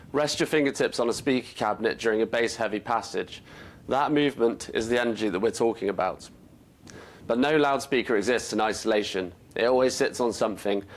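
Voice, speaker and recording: A man presenting a scripted product explainer in a measured, explanatory way. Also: fairly low pitch. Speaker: company presenter.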